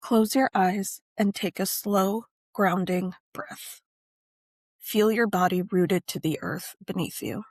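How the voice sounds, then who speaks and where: Slow-paced woman guiding a meditation. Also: medium pitch, no echo, studio quality. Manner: measured, deliberate phrasing with frequent pauses. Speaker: guided meditation leader. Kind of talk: scripted guided meditation, ritual narration.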